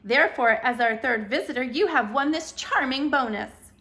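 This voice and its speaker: A woman voicing a booming, jolly announcer speaking from ceiling speakers in a storybook reading. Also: moderate pace. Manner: loud, hearty.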